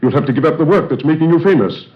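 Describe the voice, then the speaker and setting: An actor playing a brisk, skeptical older doctor in an old-time radio drama, authoritative and paternal. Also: male voice, fairly high pitch, lightly acted. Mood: stern, advising. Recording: dull, band-limited old radio recording.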